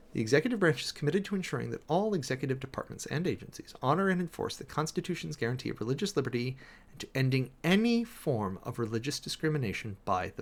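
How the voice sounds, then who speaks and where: An American man reading official documents aloud dramatically and commenting closely on them, with emphatic, deliberate, theatrical phrasing. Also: medium pitch. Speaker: streamer, preacher host.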